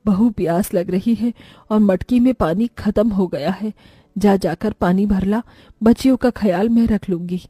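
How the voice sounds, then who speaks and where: A woman's voice: mid-range voice, normal speed, dark tone, breathy edge, no room sound, natural voice. A woman voicing a caring, practical elderly mother-in-law in a cartoon story, in a homely manner.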